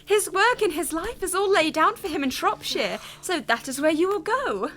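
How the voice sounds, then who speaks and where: Woman playing a high, bright, gushing young lady. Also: expressive, normal speed. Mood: sugary, breezy. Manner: sugary, effusive. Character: gushing, dutiful young upper-class sister. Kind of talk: period radio drama acting.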